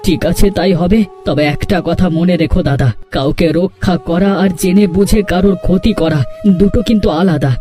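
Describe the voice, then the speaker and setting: A troubled younger brother in a cartoon, played by a man, earnest and pleading. The voice is high for a man yet mellow and soft-edged, with faint music underneath. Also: a little fast, natural voice. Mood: resentful. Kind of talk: Bengali cartoon voice acting.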